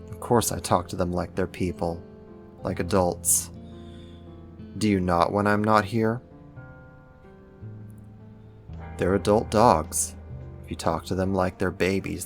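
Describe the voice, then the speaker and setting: Guy doing a deep slow chill roommate whos secretly a villain, caring, bit evasive, soft asmr roleplay. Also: vocal fry. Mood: gently firm. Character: young man, secret supervillain roommate, caring, wry, evasive.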